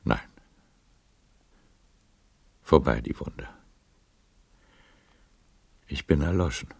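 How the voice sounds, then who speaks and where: An actor playing a weary, resigned man over seventy in a literary radio play, speaking haltingly and poetically with a worn voice. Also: animated, no room sound, heavily acted. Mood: resigned, melancholy. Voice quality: very deep, gravelly, worn voice. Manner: slow, halting, poetic delivery.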